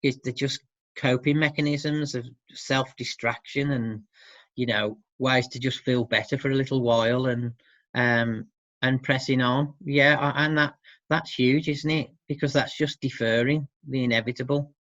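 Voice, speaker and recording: A man's voice: medium pitch, fairly even intonation, moderate pace, a bit bright. A man answering interview questions about his work in an informal, thoughtful, hesitant way. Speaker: interviewee, support service worker for dads. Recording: muffled, roomy lo-fi sound.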